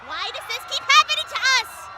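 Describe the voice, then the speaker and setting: Woman voicing a very high, spirited teen heroine. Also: normal speed, over loud music. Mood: alarmed, exasperated. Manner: rallying, earnest and dramatic. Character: spirited teenage girl heroine leading her team. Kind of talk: fan audio drama acting.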